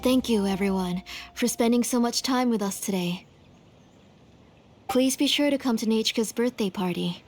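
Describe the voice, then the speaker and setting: A woman voicing a gentle but firm, protective mother in a video game, composed and courteous. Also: fairly high pitch, normal speed, faint music behind, close to an everyday voice.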